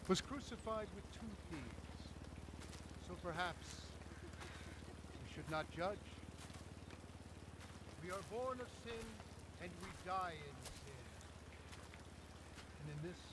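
A solemn clergyman at a graveside in a video game. His sermon is formal and grave, spoken very slowly in a somewhat raised pitch. Clear rain-like outdoor noise runs behind him. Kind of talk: solemn funeral sermon, game cutscene acting.

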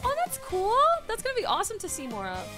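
A woman's voice: very high-pitched, wildly swooping pitch, quick pace, over loud music. A young woman streamer giving casual, chatty, gushing reaction commentary into a desk microphone at home. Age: young adult. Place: small home streaming room, indoors. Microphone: desk microphone on a boom arm.

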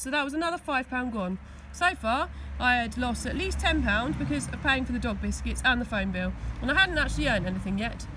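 An English woman reading a children's storybook aloud with expressive narration, recorded outdoors. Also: fairly high pitch, background noise. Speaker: school teaching assistant reading aloud. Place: outdoors on grass.

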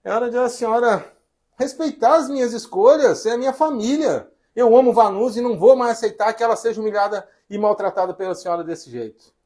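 Casting: actor as earnest Brazilian husband, hesitant, repetitive, highly animated intonation, domestic drama. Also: male voice, normal speed, slightly rough, full character voice. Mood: firm, earnest. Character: hesitant adult son and husband, torn, earnest.

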